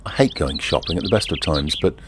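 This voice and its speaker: An actor playing a wry, easygoing ordinary bloke who confides in the listener in a leisurely first-person monologue. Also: male voice, very deep voice, animated, quick pace, background noise. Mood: tranquil, musing. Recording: outdoor ambience with birdsong behind. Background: birdsong and outdoor ambience.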